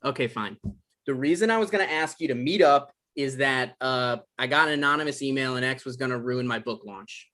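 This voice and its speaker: A man's voice: mid-range voice, brisk, bright, forceful tone, close to an everyday voice. Man playing an anxious, defensive guy in his thirties. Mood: exasperated. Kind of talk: script table read, conversational comedic acting.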